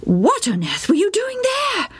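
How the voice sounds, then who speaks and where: A woman's voice: very high-pitched, huge pitch swings, measured pace, voice pushed up, heavily acted. A woman voicing a worried mother who fusses and scolds her son. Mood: scolding. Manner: scolding, exclaiming. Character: fussing, worried country mother.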